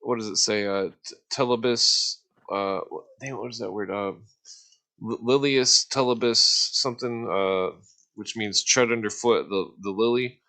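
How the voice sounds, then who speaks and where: Halting low-voiced man rambling through an explanation. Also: bright, forceful tone. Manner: halting, searching for words, often losing his thread. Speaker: host explaining esoteric symbols. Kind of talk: casual rambling explanation in a livestream debate.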